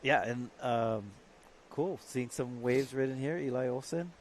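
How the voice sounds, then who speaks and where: A man's voice: mid-range voice, measured pace, slight background noise. A man, co-commentating a surf contest live and chatting about local slang words. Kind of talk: live sports commentary, casual banter.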